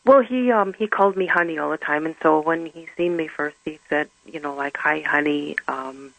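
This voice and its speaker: A middle-aged woman whose first language is German, speaking earnestly and haltingly as an interview guest. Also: low voice, vocal fry, fairly bright tone, dry recording, rough recording. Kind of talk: personal testimony in conversation.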